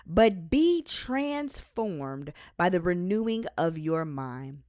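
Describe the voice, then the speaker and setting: A woman reading their own devotional book aloud as an author, with measured, deliberate and emphatic phrasing. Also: mid-range voice, darkish tone, dry recording, clean studio sound. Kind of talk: devotional audiobook narration.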